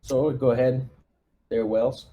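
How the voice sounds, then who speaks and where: A man's voice: mid-range voice, fairly even intonation, slow, warm tone, a little hiss or hum. A man playing a squad leader in a tabletop game, chatting casually in and out of character. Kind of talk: casual in-character roleplay chat.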